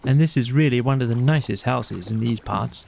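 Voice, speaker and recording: A man's voice: mid-range voice, moderate pace, creaky, a little mellow, slight background noise, lightly acted. A man voicing a cheerful, good-natured storybook water rat with a breezy, chatty and enthusiastic manner. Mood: fond, chatty. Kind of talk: storybook character voice in an audio play.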